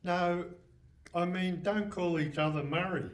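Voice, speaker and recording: Man performing a worried slow-witted crook, comic radio theatre, echoey stage, away from the mic. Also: high-pitched. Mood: anxious. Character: doubtful, slow-witted male crook sidekick. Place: hall stage before live audience. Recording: roomy, distant mic, faint noise.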